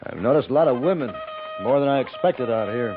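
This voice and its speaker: An actor playing a bitter, disgraced, gray-haired army lieutenant who drinks, halting and defensive, in a 1950s radio drama. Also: male voice, mid-range voice, expressive, over music, close to an everyday voice. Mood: bitter, guarded. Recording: tinny, noisy 1950s radio recording.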